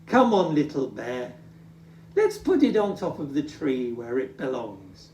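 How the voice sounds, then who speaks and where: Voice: medium pitch, big pitch swings, measured pace, darkish tone, a little hiss or hum. A storyteller voicing a kindly, helpful female owl in a children's storybook, caring and encouraging. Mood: reassuring. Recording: roomy, distant microphone.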